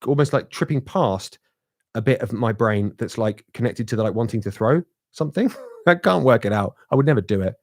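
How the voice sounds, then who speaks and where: A man in his thirties, from Britain, talks fast and rambles as co-host of a live podcast, often restarting his sentences. His voice has a middle pitch. It sounds dry, with no room echo. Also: lively intonation. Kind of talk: casual live podcast chat. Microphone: podcast microphone.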